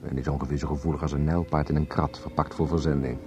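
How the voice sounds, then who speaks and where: Very deep, reserved man. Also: little pitch movement, fast talker, darkish tone. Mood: reflective. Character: reserved male confidant listening to an unhappy wife. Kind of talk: Dutch radio play acting. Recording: dull lo-fi radio play recording, music.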